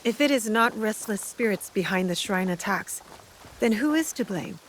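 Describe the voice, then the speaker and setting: A woman's voice: mid-range voice, expressive, normal speed, a little hiss or hum, natural voice. An actress playing a resolute, wary female rebel with a dry, no-nonsense manner in a video game cutscene. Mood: measured, curious. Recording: music behind the voice. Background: music.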